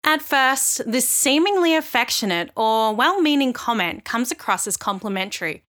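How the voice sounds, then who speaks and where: A woman co-hosting a podcast in casual chat, agreeable and quick to affirm what her co-host says. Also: on the high side, animated, a bit bright.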